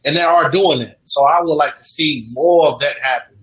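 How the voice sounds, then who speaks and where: A man speaks reflectively on a panel, pausing and restarting as he thinks. His audio sounds slightly dull and muffled. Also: a little high. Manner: reflective, halting. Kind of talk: roundtable discussion.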